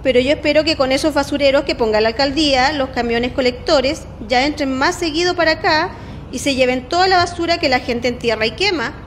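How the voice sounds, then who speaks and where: Actress playing gossipy helper lady in radio drama, really high voice, opinionated, chatty, bit noisy. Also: brisk, lightly acted. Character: Carmen, gossipy, opinionated, deferential shelter helper woman. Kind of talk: radio soap opera acting.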